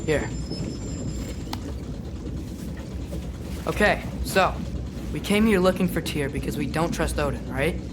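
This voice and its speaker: An eager, curious teenage boy adventurer from a video game, acted by a man, with a high voice and a chatty manner. Loud clicking and rumbling noise runs behind him. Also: lively intonation, normal speed, lightly acted. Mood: thoughtful. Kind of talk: video game cutscene acting.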